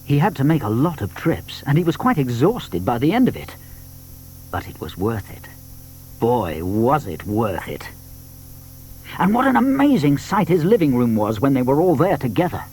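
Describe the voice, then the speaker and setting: A man with a British accent narrating a scripted children's story in an expressive storytelling manner. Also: medium pitch, normal speed, slight background noise.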